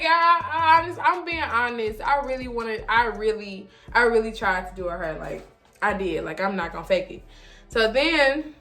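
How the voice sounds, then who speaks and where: A young woman chatting casually to the camera as a vlogger, animated, slangy and emphatic, often repeating herself. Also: medium pitch. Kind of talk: casual storytime chat to camera.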